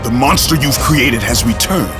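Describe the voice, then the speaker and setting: A man voicing a tormented, vengeful Greek warrior in a video game, gruff, grim and bitter. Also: mid-range voice, lively intonation, normal speed, close to an everyday voice. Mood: defiant, vengeful. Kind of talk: video game cutscene acting. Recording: loud music underneath.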